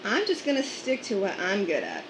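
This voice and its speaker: A man's voice: high-pitched, huge pitch swings, normal speed, fairly bright tone, reverberant, a little hiss or hum, heavily acted, low-quality recording. A man voicing a tough young woman student who speaks in a wry, dry way. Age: young adult.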